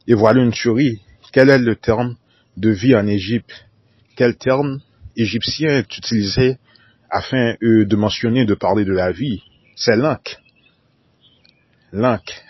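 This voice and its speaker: A man's voice: fairly low pitch, unhurried, breathy edge, no room sound, highs cut off. A man delivering a formal solo monologue, repeating whole sentences and spelling out words letter by letter. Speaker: conspiracy talk narrator.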